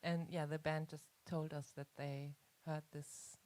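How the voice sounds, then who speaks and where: Low-voiced woman, relaxed and agreeable. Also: unhurried. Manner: relaxed, agreeable, many yeahs. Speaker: video artist guest. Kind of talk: casual discussion.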